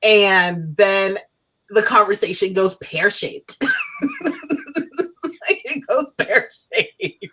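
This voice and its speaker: Woman co-host, lively swooping pitch, emphatic chatty review talk. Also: drawn-out words, pauses often, background noise. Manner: animated, emphatic, restates points. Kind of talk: casual review chat.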